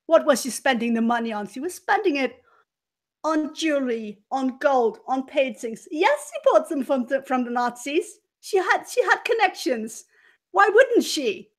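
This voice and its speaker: A woman telling a story in character as a haughty, scheming former cabaret dancer, theatrical and deliberate with dramatic pauses and swells. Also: high-pitched, very animated. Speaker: player in a murder mystery role-playing game.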